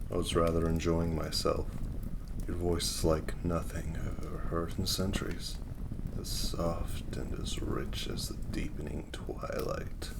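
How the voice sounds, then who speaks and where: Man voicing a very deep, seductive demon prince. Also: gravelly, full character voice. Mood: amused, admiring. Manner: silky, teasing, theatrical, unhurried. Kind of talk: intimate flirtatious roleplay audio drama. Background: crackling fire ambience.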